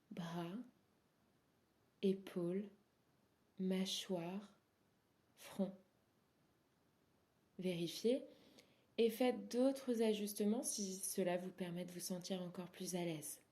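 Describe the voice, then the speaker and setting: A woman guiding a yoga nidra relaxation as an instructor, speaking softly and soothingly in short, unhurried phrases that sometimes trail into breathiness. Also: lowish voice. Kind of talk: guided relaxation meditation.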